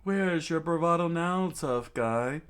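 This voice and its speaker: A man's voice: fairly high pitch, slow, fairly bright tone, smooth, pitched above the performer's own voice. A man voicing a flamboyant, gloating male villain fighter who taunts theatrically and calls out attack names. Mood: mocking.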